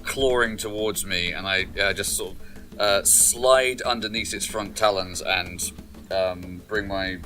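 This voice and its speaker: Brief: man, lowish voice, umming player, music bed beneath. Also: steady tone, a little slow, bright, forceful tone. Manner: hesitant, full of ums and sort-ofs. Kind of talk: casual tabletop play. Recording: music playing under voice.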